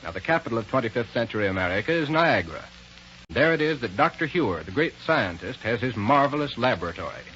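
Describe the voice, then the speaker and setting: A man's voice: medium pitch, big pitch swings, quick pace, a bit bright. A man narrating as the announcer of a 1930s radio serial, addressing listeners in a theatrical, inviting way. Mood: dramatic, inviting. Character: storytelling announcer guiding listeners into the future. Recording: muffled, hissy vintage radio recording.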